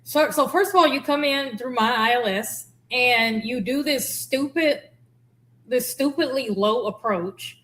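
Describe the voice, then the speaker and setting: A woman's voice: fairly high pitch, a little slow, fairly bright tone. A woman giving casual, candid explanatory commentary as a former air traffic controller.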